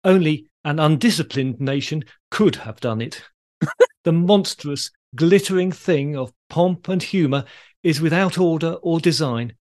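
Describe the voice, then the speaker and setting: A man's voice: on the high side, measured pace, a little mellow. A British man speaking as a podcast host, in a conversational, informative style. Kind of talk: conversational podcast talk with read quotations.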